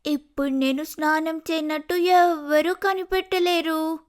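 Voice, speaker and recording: A woman's voice: high-pitched, fairly even intonation, unhurried. A woman voicing a lazy, pampered young female sparrow in a cartoon moral story, whining and wheedling dramatically. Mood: sly.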